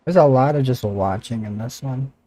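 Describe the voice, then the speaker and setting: A man's voice: mid-range voice, moderate pace, warm tone, dry recording. A man live-streaming a game, making casual, slangy asides to his chat. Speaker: game livestreamer.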